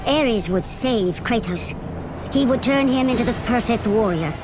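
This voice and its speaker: An actress playing a solemn, portentous narrator of a Greek epic in a video game, with deliberate dramatic emphasis. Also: female voice, a little high, lively intonation. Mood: grave, portentous. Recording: loud dramatic music underneath.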